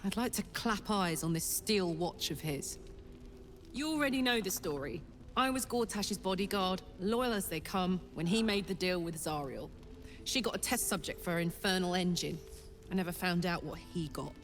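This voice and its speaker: A woman's voice: fairly low pitch, moderate pace, a little hiss or hum, natural voice. A woman playing a brash ex-bodyguard warrior in a fantasy video game, blunt and emotive. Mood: bitter. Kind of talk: dramatic video game acting.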